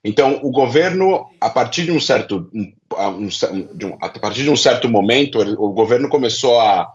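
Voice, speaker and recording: A man's voice: medium pitch, expressive, a little fast, muffled recording. A man with a Brazilian accent giving didactic analyst commentary, laying out his points step by step. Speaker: political analyst, interview guest. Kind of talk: explanatory commentary.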